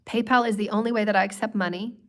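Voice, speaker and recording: A woman talks on a spiritual livestream, her voice hoarse from a cold. She keeps a flat, level delivery and strings phrase after phrase into long repeated lists. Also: mid-range voice, fast. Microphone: livestream microphone.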